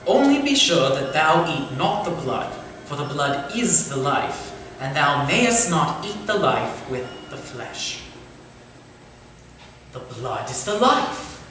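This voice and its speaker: A courteous Victorian gentleman of letters, played by a man, speaks with a widely moving, theatrical voice and genteel formality. The stage-play delivery is heard from far off in a very echoey hall with faint noise. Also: on the high side, sing-song, takes it slowly, heavily acted. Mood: brooding, intense. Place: indoor theatre space, staged reading.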